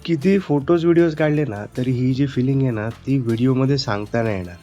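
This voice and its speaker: A man hosts a casual travel vlog with a chatty, explanatory delivery. His voice sits at an ordinary pitch, with faint music underneath. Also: fast talker. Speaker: travel vlogger.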